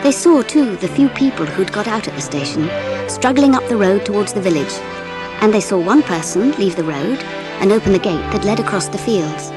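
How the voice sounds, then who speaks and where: A woman playing a gentle English mother, narrating a dramatised children's audiobook expressively. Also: mid-range voice, moderate pace, music in the background, close to an everyday voice. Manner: expressive storytelling.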